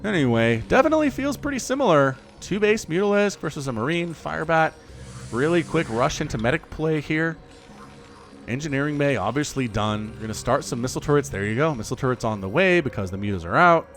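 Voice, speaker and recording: Animated man casting a strategy game. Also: a little high, brisk. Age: 30s. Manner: excitable, chatty, jokey asides. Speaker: game caster. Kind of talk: solo esports play-by-play commentary.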